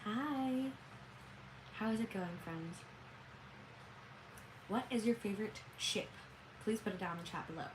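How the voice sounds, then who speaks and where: A young woman from Canada hosts a relaxed late-night live stream from home. She chats playfully with her viewers and eats as she talks. Her voice sits at a middling pitch, and steady background noise is heard behind her. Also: unhurried, long pauses. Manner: chatty, playful, hums and sings snatches. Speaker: livestream host. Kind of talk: casual live-stream chat while eating.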